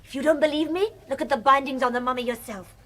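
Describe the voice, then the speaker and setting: A woman's voice: sits high, quick pace, a crowd in the background, close to an everyday voice. A woman acting in a radio drama as a defiant, outraged archaeologist's daughter who scorns treasure thieves. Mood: insistent, scornful.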